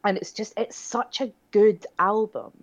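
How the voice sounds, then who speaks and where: A woman's voice: mid-range voice, measured pace. A young woman co-hosting a podcast, chatting casually with a wry, self-deprecating humour. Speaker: podcast co-host. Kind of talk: casual chat. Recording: dull lo-fi sound.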